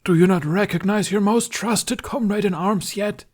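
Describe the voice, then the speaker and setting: Exasperated young actress played by a male reader, pitched well up, strained theatrical royal proclamations for a fantasy story. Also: moderate pace, a little mellow. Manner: strained, declamatory stage delivery. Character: exasperated young woman playing a theatrical King Arthur. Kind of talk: character voice in fan fiction reading.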